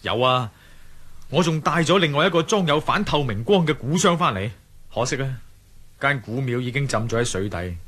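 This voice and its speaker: Adventurer hero for radio drama: Hong Kong Cantonese, friendly yet anxious, husky, animated delivery. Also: male voice, medium pitch, moderate pace. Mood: urgent. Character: Wisely, determined adventurer man, friendly but anxious.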